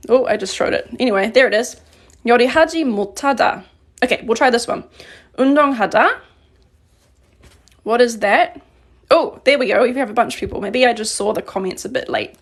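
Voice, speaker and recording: Woman teaching grammar, halting ums. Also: fairly high pitch. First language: English. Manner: halting, thinking aloud with frequent ums. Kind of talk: live-streamed grammar lesson.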